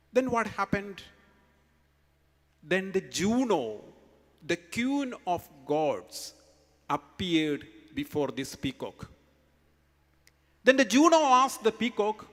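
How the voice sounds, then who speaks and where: High-voiced Indian man in echoing hall. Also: a bit bright. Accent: Indian English. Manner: emphatic, slow storyteller who quizzes the students. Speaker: school speaker at an assembly. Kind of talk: morning assembly speech with storytelling. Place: large school hall.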